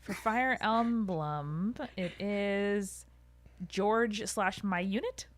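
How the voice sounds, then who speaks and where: A woman's voice: medium pitch, drawn-out words, no echo. A woman co-hosting a podcast, talking in a casual, chatty style. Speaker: podcast co-host. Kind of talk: casual chat.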